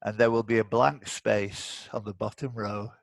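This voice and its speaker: A man's voice: lowish voice, fairly even intonation, moderate pace, gravelly, dry recording. A man hosting a live online quiz off the cuff, with chatty, teasing banter. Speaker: quiz host. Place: indoors, online video call.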